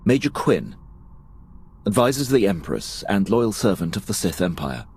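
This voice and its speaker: An actor playing a proud, loyal imperial officer in a video game, formal and clipped with a condescending air. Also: male voice, lowish voice, big pitch swings, moderate pace, darkish tone, slightly rough, heavily acted. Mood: formal, disdainful. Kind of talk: cinematic video game acting.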